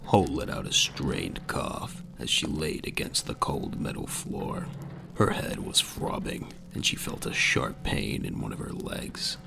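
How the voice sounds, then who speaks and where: A man's voice: a bass voice, sing-song, unhurried, gravelly, noisy background. A man narrating a scripted audio drama in a dramatic storytelling style. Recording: music bed under the voice.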